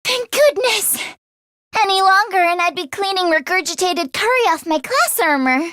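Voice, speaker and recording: A woman voicing a nervous, expressive teenage girl fighter in an English video game, with casual youthful phrasing. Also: very high-pitched, moderate pace, no room sound, studio quality. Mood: anxious, queasy.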